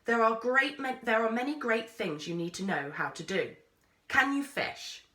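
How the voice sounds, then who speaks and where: A woman's voice: a little high, moderate pace. Woman reading as a stern male explorer, roomy sound. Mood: brisk, challenging. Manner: abrupt, commanding, without gentleness. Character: gruff, abrupt adult male explorer, stern. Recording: roomy echo, distant microphone.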